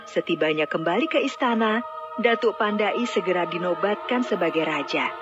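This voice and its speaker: A woman's voice: on the low side, lively intonation, normal speed. A woman narrating a scripted fairy tale as a storyteller. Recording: dull lo-fi audio, music bed. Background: background music.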